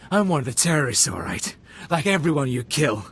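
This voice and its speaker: An actor playing a doomed, defiant terrorist in a video game, bitter and fatalistic. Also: male voice, fairly high pitch, very animated, moderate pace, creaky, a little hiss or hum. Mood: bitter, resigned.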